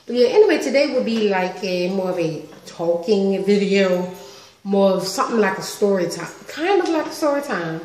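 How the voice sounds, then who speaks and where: A woman in her thirties chatting casually on a home vlog, animated and talkative, recorded on a webcam in her living room. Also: mid-range voice, normal speed, reverberant, slight background noise, rough recording. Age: 30s. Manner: chatty, animated with lots of hand gestures. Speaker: vlogger. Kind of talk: casual story-time vlog. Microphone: webcam close up.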